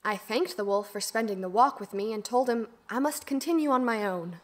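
A woman's voice: a little high, brisk, lightly acted. A woman playing an earnest, dutiful young girl who tells her own fairy tale formally in an audio drama. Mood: earnest, reflective. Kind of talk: first-person storybook narration and acting in audio drama.

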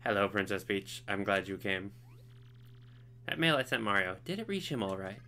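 A man voicing a sweet, polite young princess in a game, raising his voice softly. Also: lowish voice, normal speed, slight background noise. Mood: gentle.

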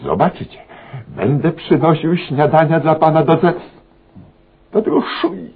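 A man's voice: fairly high pitch, animated, unhurried, vocal fry, husky, full character voice. An actor playing a pompous, cowardly male newspaper columnist in a radio comedy, stammering and pleading as he talks. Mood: anxious pleading. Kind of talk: satirical radio comedy acting. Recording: muffled, phone-like old radio recording.

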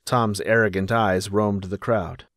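A reflective male narrator in an audiobook drama. The voice sits low and is recorded dry. He observes people closely and tells it as literature. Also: fairly even intonation, a little slow, a bit bright, no room sound.

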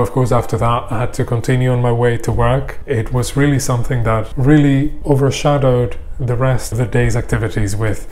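A young man talking to camera as a vlogger, sharing a personal experience in a measured, articulate and gently emphatic way. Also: medium pitch, a little hiss or hum. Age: young adult. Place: small indoor room or office. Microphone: clip-on lapel mic.

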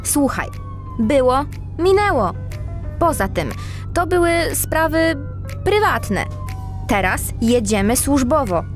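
No-nonsense girl cat cop in a kids radio play, high lively voice. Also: takes it slowly, pauses often, soft background music, full character voice. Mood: businesslike. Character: businesslike, self-assured female cat police detective. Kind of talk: children's audio drama acting.

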